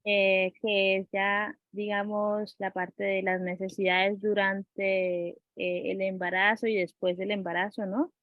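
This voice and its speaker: A woman's voice: mid-range voice, flat delivery, takes it slowly, hardly any pauses, no room sound. Hesitant woman interviewer, slightly dull sound. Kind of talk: conversational interview questioning.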